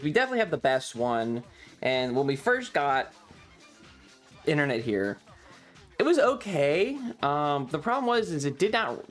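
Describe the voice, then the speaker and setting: A man's voice: on the high side, fairly bright tone. A man chatting casually as a livestream host, sweary and self-deprecating, sounding slightly tired. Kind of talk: casual rambling stream chat.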